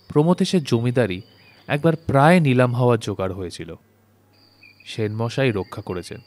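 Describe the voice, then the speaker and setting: A man narrating a literary story from a script, with measured, formal phrasing. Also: medium pitch. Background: plucked-string instrumental music.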